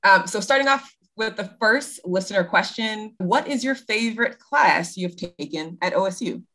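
Enthusiastic co-host, woman, average pitch. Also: animated, normal speed, bright, forceful tone. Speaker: podcast co-host, admissions advisor. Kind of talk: casual podcast chat.

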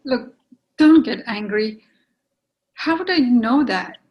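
A regretful woman gently ending a romance, acted in a play reading. She speaks slowly in a lightly raised pitch, restrained and apologetic, with a resigned air. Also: expressive, lo-fi sound. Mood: pained, placating. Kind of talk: readers theatre play reading.

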